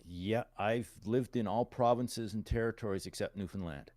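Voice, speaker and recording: A man's voice: on the low side, moderate pace, darkish tone, dry recording. A middle-aged man from Calgary, a musician guest, telling stories in a folksy, plain-spoken way. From Calgary, Alberta, Canada. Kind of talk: casual interview storytelling.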